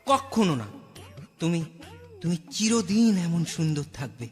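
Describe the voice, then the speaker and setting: Devoted young fairy-tale groom: earnest, halting, animated, reverberant over music. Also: female voice, on the low side. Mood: determined. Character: earnest young bridegroom, startled, devoted husband. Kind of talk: Bengali fairy-tale cartoon acting. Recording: hall reverb, clear music behind.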